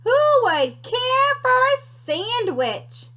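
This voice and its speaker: A crackly little female sand creature, put on by a woman reading aloud, bossy and smug, drawing every word out slowly. The voice sits high and swoops a lot. It is a storybook character, not an everyday voice. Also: very animated, sharp tone, full character voice. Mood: coaxing. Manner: slow and drawn out.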